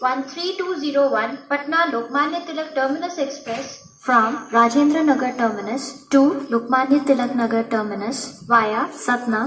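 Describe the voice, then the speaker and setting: Echoey high-pitched Indian woman station announcer. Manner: formal, measured, stitched-together phrases. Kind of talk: scripted public announcement in Hindi and English. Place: railway station, announcement heard over PA speakers. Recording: echoey station loudspeaker, distant mic, music.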